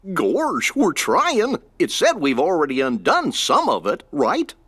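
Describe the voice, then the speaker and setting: Goofy, drawling cartoon dog. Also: male voice, a little high, wildly swooping pitch, slow pace, a bit bright, slightly rough, no echo, exaggerated voice. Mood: puzzled. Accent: American. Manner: folksy, bumbling. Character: slow-witted, good-natured goofy cartoon dog. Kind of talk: animated video game voice acting.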